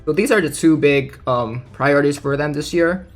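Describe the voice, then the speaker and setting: A man's voice: a little high, normal speed. A man giving casual commentary as he reads out game news, informal and thinking aloud. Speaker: gaming content creator. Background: background music.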